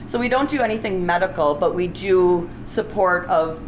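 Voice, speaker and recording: A woman's voice: fairly low pitch, moderate pace. A middle-aged woman hosting a cooking series in a casual, chatty, enthusiastic, unscripted way. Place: restaurant kitchen, indoors. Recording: clearly noisy kitchen ambience.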